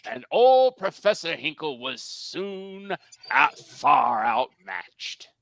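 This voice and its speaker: A man's voice: sits high, very animated, slow pace, gravelly, fairly bright tone. A man narrating a cartoon table read in a theatrical, folksy storybook style with emphatic phrasing.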